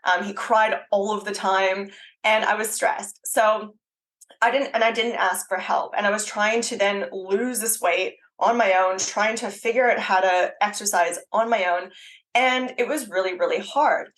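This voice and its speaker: A woman's voice: mid-range voice, steady tone, measured pace, fairly bright tone. Chatty Canadian woman with an Australian tinge. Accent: Canadian with some Australian. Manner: chatty, frequent ums and likes, laughs easily. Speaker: guest, fitness coach. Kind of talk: casual conversational interview.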